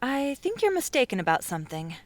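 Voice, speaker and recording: A man's voice: very high voice, huge pitch swings, brisk, dry recording, voice pushed up, full character voice. A male narrator voicing a poised, confident young woman with an assured, slightly teasing way of talking. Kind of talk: audiobook character voice.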